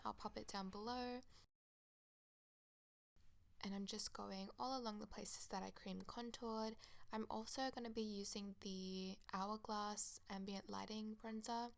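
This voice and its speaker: A woman's voice: medium pitch, vocal fry. A young woman with a cold, her voice a bit crackly, chatting enthusiastically through a makeup tutorial voice-over. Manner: chatty, enthusiastic product recommendations. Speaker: makeup artist.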